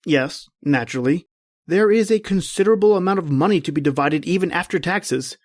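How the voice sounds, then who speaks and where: A male actor playing a formal, dutiful estate executor in an old-time radio drama, with deliberate wording. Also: fairly high pitch, no room sound, clean studio sound, lightly acted. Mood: formal, measured.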